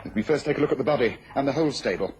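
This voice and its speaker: An actor with an English accent playing a self-assured, observant detective in a radio drama, incisive and authoritative. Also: male voice, mid-range voice, lively intonation, very fast, a little mellow, lightly acted. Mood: brisk, absorbed. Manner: incisive, muttering deductions, authoritative. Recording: noisy old radio recording.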